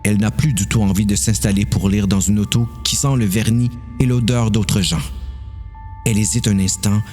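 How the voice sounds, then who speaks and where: A man's voice: on the low side, steady tone, moderate pace, a little mellow, soft background music. A man with a Quebec French accent narrating a dramatised horror story.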